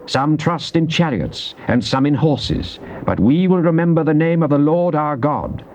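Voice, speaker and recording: An actor playing a devout psalmist king, reciting scripture in a solemn, declamatory, deliberate way. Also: on the high side, darkish tone, lightly acted. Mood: solemn, exalted. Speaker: actor reading Psalms in a dramatized Bible recording. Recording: muffled old cassette tape with faint noise.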